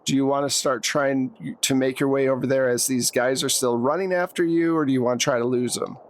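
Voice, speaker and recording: A woman's voice: very deep voice, fairly even intonation, fairly quick. A woman running a tabletop role-playing game, narrating scenes and addressing her players directly in a conversational way. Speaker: game master. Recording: noticeable background noise, room ambience.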